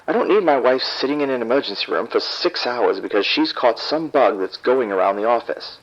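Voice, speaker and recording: A man's voice: mid-range voice, animated, brisk, natural voice. An actor playing an irritated, proud husband and father who speaks curtly and defensively to the police over the phone. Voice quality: curt, defensive. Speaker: caller. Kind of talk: audio drama acting. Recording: dull phone line.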